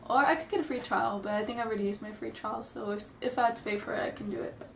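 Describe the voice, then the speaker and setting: A woman's voice: on the high side, fairly even intonation, racing, creaky, a little hiss or hum. A young woman who sounds stuffy from a cold, chatting nervously and rambling in a casual vlog. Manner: nervous, rambling, apologetic, giggly. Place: room at home. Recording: roomy, distant home camera audio.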